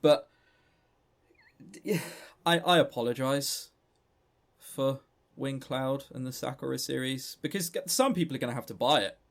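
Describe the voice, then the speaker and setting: A man with a southern English accent co-hosting a casual gaming news chat, animated, sardonic and sweary in manner. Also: mid-range voice, a little slow, gravelly, pauses often. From southern England. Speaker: podcast co-host.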